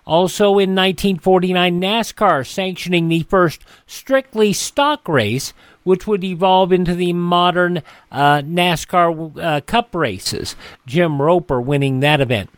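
A man's voice: high-pitched, no room sound. An American man hosting a radio program and reading items in an announcer-like way, with some hesitations. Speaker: radio show host.

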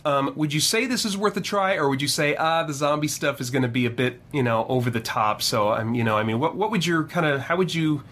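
A man's voice: a little high, brisk, few pauses, a bit bright. A man hosting a podcast, interviewing casually with informal, rambling phrasing.

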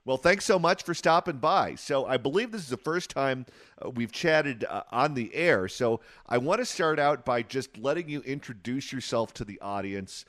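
A man's voice: medium pitch, animated, normal speed, a bit bright, no echo. A man hosting a radio talk show, interviewing a guest with long, conversational questions.

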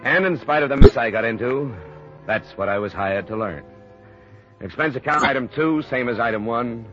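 An American actor playing a world-weary, cynical insurance investigator, narrating in clipped, matter-of-fact hard-boiled 1950s radio style. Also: male voice, medium pitch, normal speed, natural voice. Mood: weary, wry. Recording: muffled vintage radio recording. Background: dramatic orchestral music.

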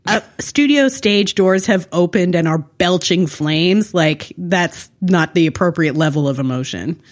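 Low-voiced, wry woman podcaster. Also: unhurried. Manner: wry, snarky. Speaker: podcast co-host. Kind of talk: casual TV recap podcast chat.

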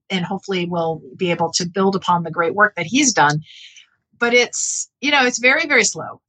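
A woman speaking as a professor in an interview, explaining her research in an earnest, measured, halting way with self-corrections. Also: medium pitch, bright, forceful tone, rough recording.

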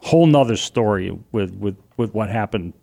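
A middle-aged man with a loud voice, telling a personal story as an interview guest, animated and vivid, acting out what people said. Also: on the low side, normal speed, gravelly. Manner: vivid, animated retelling with acted-out quotes.

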